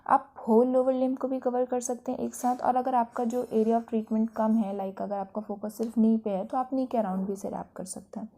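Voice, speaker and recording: A woman's voice: fairly high pitch, fairly even intonation, normal speed, hardly any pauses, warm tone. An Indian woman giving an explanatory physiotherapy lecture in an instructive manner, mixing in English technical terms.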